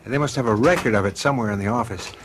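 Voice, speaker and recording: An actor playing a gentle, quietly troubled middle-aged American husband and father in a radio drama, hesitant yet reassuring. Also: fairly low pitch, very fast, background noise, close to an everyday voice. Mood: uneasy.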